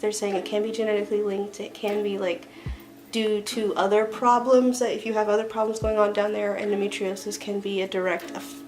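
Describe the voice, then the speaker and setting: A young woman in her twenties chats to the camera from a small bedroom, her voice a touch stuffy from a cold. She rambles loosely, full of "like" and restarts, with soft music faint behind her. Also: mid-range voice. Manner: chatty, rambling, lots of "like" and restarts. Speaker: vlogger. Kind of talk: casual chat to camera. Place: small bedroom with string lights, indoors.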